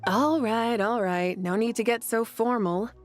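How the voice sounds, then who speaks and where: A woman's voice: fairly high pitch, a little slow, close to an everyday voice. A woman voicing a confident, easygoing female leader god in a video game, with a breezy, teasing manner. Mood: playful, warm. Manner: breezy, self-assured, teasing. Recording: game music behind.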